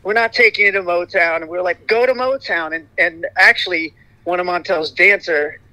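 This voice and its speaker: A middle-aged man talks casually as an interview guest. His voice sits high and sounds very bright and cutting, and it stays light all the way through. The recording is dry and close, with no room sound behind him. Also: normal speed, dry recording, low-quality recording. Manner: rambling, many "you know" fillers and restarts. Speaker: interview guest, singer in a vocal group.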